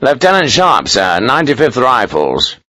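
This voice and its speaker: A man's voice: medium pitch, normal speed, dry recording. Stubborn lieutenant, done by a male narrator: proud, plain-spoken, defiant, historical novel audiobook. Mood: firm, defiant. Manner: flat, firm, defiant.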